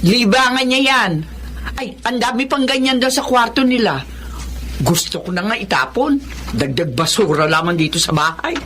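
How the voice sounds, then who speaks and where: A woman's voice: on the high side, moderate pace, a bit bright, slight background noise, natural voice. An actress playing a mocking, money-minded Filipino mother in a family drama, with a dismissive way of talking. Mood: mocking, scornful. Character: scornful, mocking, money-minded mother belittling her son.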